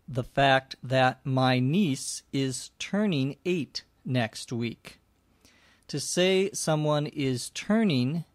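A man hosting a language-lesson podcast, narrating and explaining from a script in a deliberate, carefully phrased way for learners. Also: a little high, no echo.

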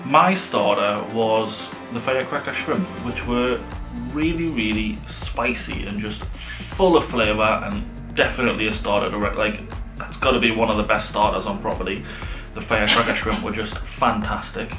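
A man's voice: on the low side, fairly even intonation, moderate pace, echoey room, poor audio. A middle-aged man, chatting casually and enthusiastically to camera as a vlog co-host. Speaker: vlog co-host reviewing restaurants. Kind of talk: casual sit-down chat to camera. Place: living room sofa, indoors. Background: background music.

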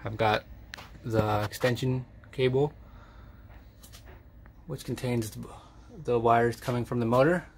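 A man's voice: mid-range voice, steady tone, measured pace, pauses often, slight background noise. A man narrating a home DIY project step by step in a plain, matter-of-fact way, with the odd filler. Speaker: DIY channel host. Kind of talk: casual DIY voice-over walkthrough.

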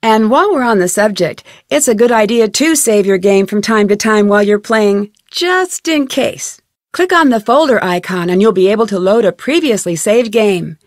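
A woman playing a chipper, curious young detective, giving friendly, clearly articulated tutorial narration for a game. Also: mid-range voice, animated, normal speed, no room sound, clean studio sound, natural voice. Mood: friendly, instructive.

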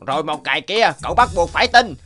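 A high-voiced divine dog from an animated folk tale. It speaks with solemn authority and admonishes in a declamatory, lively-pitched delivery. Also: male voice, quick pace, faint music behind. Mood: stern warning.

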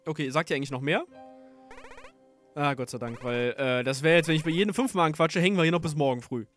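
Man, higher voice, casual game commentary, swearing, slangy, faint game music behind. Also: fast, fairly bright tone. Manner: swearing, slangy, easily annoyed. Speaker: let's play host. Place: home gaming setup.

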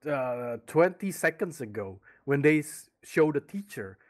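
A man's voice: fairly high pitch, lively intonation, unhurried. A man hosting a casual live reaction chat, sick with a flu, chatty and prone to trailing off. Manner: chatty, laughs often, trails off mid-sentence. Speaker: host.

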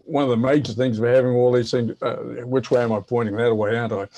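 A man in his seventies with a New Zealand accent, chatting and explaining casually in a halting way with repeated words. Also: mid-range voice, steady tone, darkish tone. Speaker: guest, veteran amateur radio operator. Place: home office, indoors.

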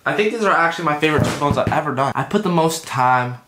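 A man in his twenties with a stuffy, congested voice, talking casually and jokingly to camera in a deadpan, goofy way. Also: a little high, fast, noisy background. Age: 20s. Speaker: YouTube vlogger, custom artist. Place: indoors, room at home.

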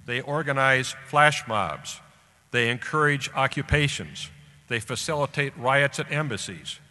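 A man's voice: mid-range voice, animated, sharp tone. Older American man speaking in a reverberant hall. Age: older, about 70. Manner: deliberate short phrases with emphatic pauses. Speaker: guest speaker at a graduation ceremony. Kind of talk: formal commencement address read from a script. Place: large indoor auditorium stage at a podium. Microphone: podium microphone. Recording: echoey hall public-address sound.

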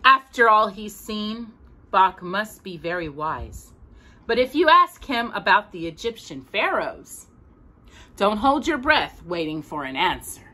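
Casting: middle-aged woman, storytime reader, lively swooping pitch, deliberate dramatic phrasing. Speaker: storytime host reading aloud. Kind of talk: expressive children's storybook reading. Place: small room at home with bookshelves.

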